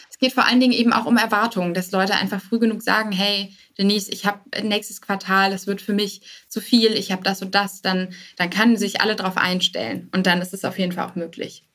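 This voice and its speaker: A woman's voice: medium pitch, fairly bright tone. A woman speaking conversationally as a founder being interviewed on a podcast, dropping English business terms into her talk. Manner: mixes English business jargon into speech.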